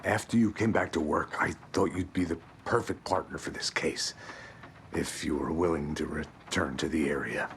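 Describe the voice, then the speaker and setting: A man's voice: very deep voice, steady tone, normal speed, gravelly, a bit bright, heavily acted. An actor playing a weary, hard-boiled male agent in a video game, grave and wary in delivery. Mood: hesitant, somber. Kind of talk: video game cutscene acting. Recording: light ambient background noise.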